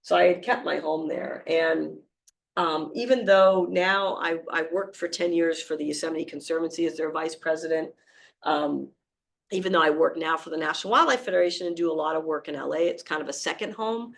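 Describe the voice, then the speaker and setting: Hoarse Boston woman giving a talk. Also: low voice, brisk. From Boston area, Massachusetts. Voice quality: gravelly, creaky. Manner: animated, storytelling, frequent fillers. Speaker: wildlife conservation speaker. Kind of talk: public talk with slides.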